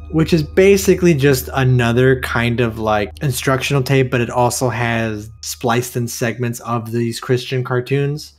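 A man co-hosting a casual discussion, explaining things in an informal way. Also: mid-range voice. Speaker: co-host of a horror discussion show. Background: music behind the voice.

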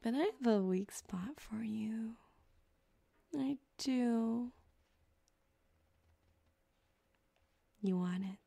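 A woman's voice: fairly high pitch, slow pace, vocal fry, dark tone, breathy edge, exaggerated voice. A woman playing a flirty, sadistic cyberpunk surgeon in an ASMR roleplay, coaxing and teasing, drifting into whispers on a close microphone. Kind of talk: ASMR roleplay, intimate one-sided scene. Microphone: close binaural microphone.

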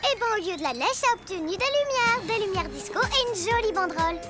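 A gloating little girl villain with a very high pitch. She is smug, mocking and theatrical in cartoon style, with loud music behind her. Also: animated, fast talker. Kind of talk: French-dubbed children's cartoon acting.

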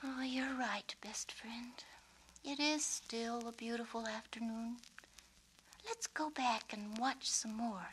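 A woman voicing an earnest, gentle young girl in a storybook, girlish and a little halting. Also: a little high, a little hiss or hum, voice pushed up, low-quality recording. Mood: thoughtful, gentle. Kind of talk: storybook character reading.

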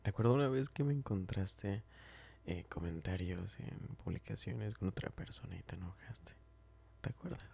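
A man playing a smitten, tender and teasing best friend in an ASMR roleplay, speaking softly and hesitantly, often whispering and repeating himself. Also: low-pitched, moderate pace, a little hiss or hum. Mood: gently teasing. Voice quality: soft, breathy, often whispering. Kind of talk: ASMR roleplay, intimate chat.

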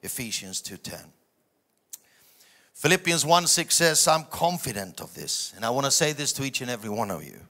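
A middle-aged man, a native German speaker, preaching an emphatic sermon from a stage into a handheld microphone with dramatic swings in volume. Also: high voice. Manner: emphatic delivery with dramatic swings from hushed asides to shouted phrases. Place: large church auditorium stage before a seated audience.

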